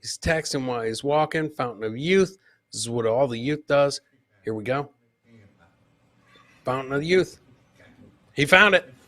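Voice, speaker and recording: Bright-voiced man hosting comedy clips. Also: medium pitch, lively intonation, unhurried, pauses often. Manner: joking, teasing, repeats punchlines. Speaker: host of a comedy clip show. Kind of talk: live comedic commentary on video clips.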